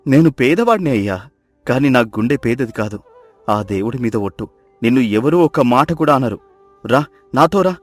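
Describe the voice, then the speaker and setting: A man's voice: medium pitch, lively intonation, normal speed, long pauses, no echo, close to an everyday voice. A man playing a kind, poor fisherman in a dramatized moral story, speaking earnestly and with compassion. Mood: earnest, pleading. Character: kind, poor fisherman pleading for mercy on a stranger. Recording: music behind the voice.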